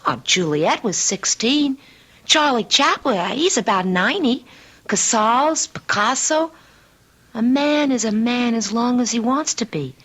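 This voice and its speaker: A woman's voice: a little high, lively intonation, measured pace, a bit bright. An actress playing an earnest, lovestruck young woman with a hard shell, emotional and hesitant, in a radio mystery drama. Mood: earnest longing.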